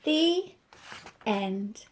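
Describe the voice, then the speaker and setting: A woman with an Irish accent, a children's librarian reading a picture book aloud, gently asking her young listeners questions. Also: a little high, big pitch swings, very slow.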